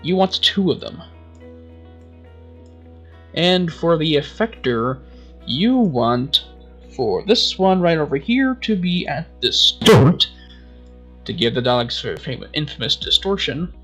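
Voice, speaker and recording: A man narrating a scripted tutorial as its host, with theatrical, drawn-out emphasis. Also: a little high, sing-song, fairly bright tone, dull audio, faint music behind.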